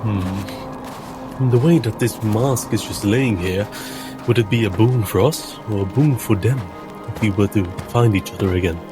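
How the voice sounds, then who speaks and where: A man's voice: fairly low pitch, measured pace. A man playing a tabletop roleplaying game, speaking as his character and describing its actions. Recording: music behind the voice.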